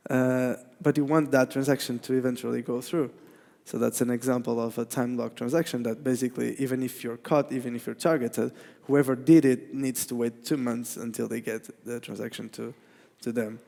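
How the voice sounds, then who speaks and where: A man with a Portuguese accent, a native Portuguese speaker, gives a technical conference talk in a halting way, with many restarts and fillers. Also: mid-range voice, fairly even intonation, gravelly, warm tone. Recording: reverberant hall.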